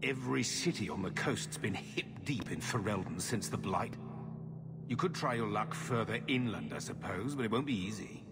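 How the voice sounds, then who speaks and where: An actor playing a shifty, weary middle-aged uncle in a video game, gruff and evasive. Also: male voice, on the low side, animated, normal speed, heavy background noise. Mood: grudging, persuasive. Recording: music playing behind the voice.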